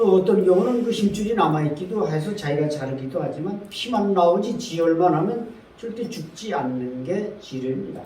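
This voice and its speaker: A man's voice: a little high, dark tone, distant mic. A man in his sixties from North Korea, giving an earnest, emphatic talk to an audience, with many fillers. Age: 60s. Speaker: guest speaker. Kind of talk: lecture to a seated audience. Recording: echoey room, speaker off-mic with faint noise.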